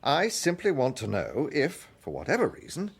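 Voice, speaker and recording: An actor playing an urbane gentleman police detective in a radio drama, with a British accent and a courteous, probing, dryly amused manner. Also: fairly high pitch, wildly swooping pitch, normal speed, creaky, husky, no echo, exaggerated voice. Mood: probing, brisk.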